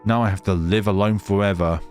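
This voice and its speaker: A man's voice: lowish voice, fairly even intonation, a little fast, faint music behind, lightly acted. A man voicing a lonely, bitter immortal snow spirit girl in a horror visual novel, speaking accusingly.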